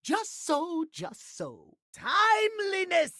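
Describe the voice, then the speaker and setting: A fussy gentleman for a video game. He is pompous and condescending, with old-fashioned, clipped phrasing. His pitch moves around dramatically, and the recording is very dry. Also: big pitch swings, slow pace, no echo, exaggerated voice. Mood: haughty, dismissive. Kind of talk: video game character voice acting.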